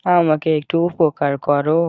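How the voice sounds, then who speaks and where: A man's voice: a little high, little pitch movement, a little slow, clear, resonant tone, dry recording, close to an everyday voice. A man voicing a poor, patient farmer and father in a cartoon, pleading and resigned in manner. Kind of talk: Bengali moral-story cartoon dubbing. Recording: muffled audio with background music.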